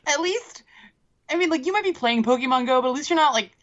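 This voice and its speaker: Woman, sarcastic profane banter, bright voice, dull lo-fi recording. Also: sits high, expressive, fast. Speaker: podcast co-host. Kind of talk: casual chat. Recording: dull lo-fi sound.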